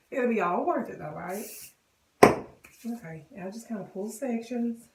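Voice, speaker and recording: A woman with a cold and a hoarse voice, chatting casually to viewers as she walks them through a wig styling tutorial. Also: a little high, expressive, takes it slowly, far from the mic, heavy background noise. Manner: chatty, addresses viewers directly. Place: bathroom. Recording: echoey bathroom, voice off-mic.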